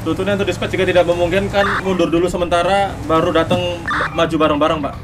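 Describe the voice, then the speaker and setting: A man's voice: high voice, fairly even intonation, fast talker, over music. A man with an Indonesian accent playing a police lieutenant in online game roleplay, talking over radio and chat. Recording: clear vehicle noise in game. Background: game vehicle and ambience sounds.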